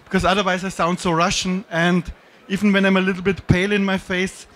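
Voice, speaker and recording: A man's voice: high voice, level tone, a little fast, a bit bright. A middle-aged man from Austria with an Austrian German accent, giving a joking, self-deprecating talk on a conference stage with a handheld mic. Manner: self-deprecating, jokey, with slightly non-native phrasing. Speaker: conference speaker. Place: dim conference stage with audience.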